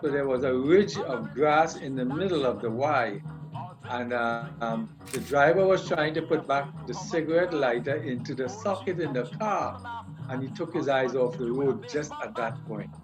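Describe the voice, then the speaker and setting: A man's voice: medium pitch, normal speed, music in the background, rough recording. Older Trinidadian man, hesitant storyteller. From Trinidad and Tobago. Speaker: interviewee. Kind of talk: personal life story interview.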